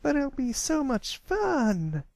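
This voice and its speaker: Chirpy little pony filly, male reader acting, high-pitched, sweet, bubbly, excitable cartoon character. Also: takes it slowly, gravelly, no echo, full character voice. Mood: excited.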